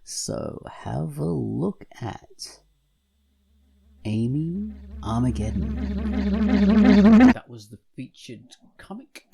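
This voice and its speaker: A man with a relaxed, creaky voice hosts a casual podcast. He rambles in a jokey way, and his pitch moves around a lot as he talks and reviews. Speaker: podcast co-host. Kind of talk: casual podcast chat and featured comic review.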